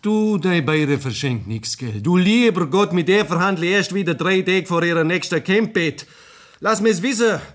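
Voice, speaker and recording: A boastful Bavarian cattle trader with a fairly high voice. He is slippery, self-justifying and argumentative, in 1960s radio-drama style. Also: lively intonation, moderate pace. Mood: wily, defensive.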